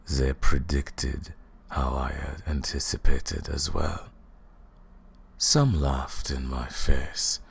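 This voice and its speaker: A man playing a menacing, arrogant vampire lord in an ASMR fantasy roleplay, speaking in a slow, deliberate, condescending way with a gravelly, breathy voice. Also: slight background noise, full character voice. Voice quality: very deep, gravelly, husky, breathy. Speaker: audio roleplay performer.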